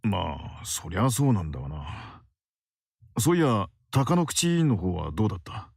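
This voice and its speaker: A man playing a gruff, matter-of-fact clinic doctor with a gravelly voice in a visual novel. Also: fairly low pitch, sing-song, fast talker, a little mellow, dry recording, studio quality, exaggerated voice. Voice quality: gravelly, husky. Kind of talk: Japanese visual novel voice acting.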